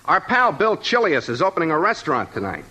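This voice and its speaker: A man's voice: a little high, wildly swooping pitch, fairly quick, pushed and bright, slightly rough, full character voice. A man playing a breezy, mocking best pal who wisecracks in 1940s radio comedy style. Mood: scheming, breezy. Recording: very noisy, clicky 1940s radio recording.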